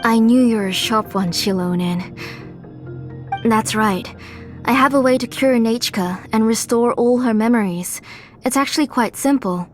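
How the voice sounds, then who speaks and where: An actress playing a gentle, devoted and sorrowful mother in a video game, speaking in a measured, earnest way. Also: a little high, soft background music, lightly acted. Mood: resolute. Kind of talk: dramatic video game voice acting.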